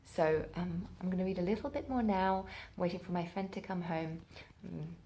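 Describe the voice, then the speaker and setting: A woman's voice: fairly low pitch, normal speed, warm tone, a little hiss or hum. A woman talking casually and informally as a book vlogger, thinking aloud as she goes. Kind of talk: casual spoken reading vlog.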